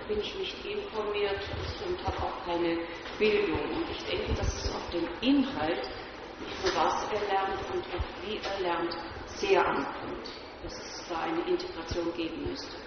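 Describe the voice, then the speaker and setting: A woman's voice: lowish voice, steady tone, a little slow. A woman in the audience making an earnest comment in German after a talk, her phrasing somewhat disjointed. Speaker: audience member asking a question. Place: lecture hall audience. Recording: distant, echoey, muffled hall with noise.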